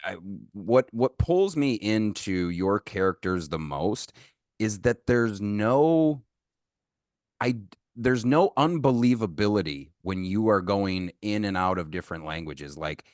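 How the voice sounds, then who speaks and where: Low-voiced man hosting a podcast. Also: expressive, unhurried, a little mellow, dry recording, studio quality. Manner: long winding questions, restarts, effusive praise. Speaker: podcast host. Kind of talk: enthusiastic interview questions.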